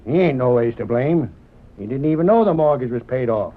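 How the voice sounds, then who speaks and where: An actor playing a suspicious, stubborn deputy with a folksy rural Western American accent in an old-time radio drama. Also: male voice, mid-range voice, expressive, fairly quick, close to an everyday voice. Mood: indignant, folksy. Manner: folksy, blustering drawl. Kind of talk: 1930s-40s radio drama acting. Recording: muffled, narrow-band old radio recording.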